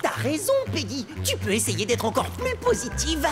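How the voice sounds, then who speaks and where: A woman voicing an excitable, scheming young boy blob in a cartoon dub, exclamatory and quick to stammer when cornered. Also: high voice, over loud music. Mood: determined. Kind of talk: animated cartoon dub for teens.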